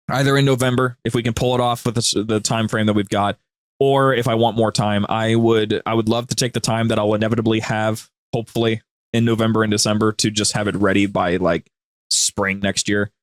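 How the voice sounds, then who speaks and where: A young man chatting casually as a podcast guest, telling his anecdotes in an animated, slangy way. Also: lowish voice, a little fast, no echo. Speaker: podcast guest, audio drama creator. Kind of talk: casual interview chat.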